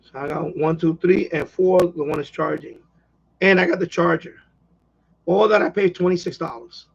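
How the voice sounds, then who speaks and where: A man's voice: fairly high pitch, normal speed, gravelly, husky, muffled recording. A man hosting a hobby live stream, chatting casually in a rambling way and often repeating himself.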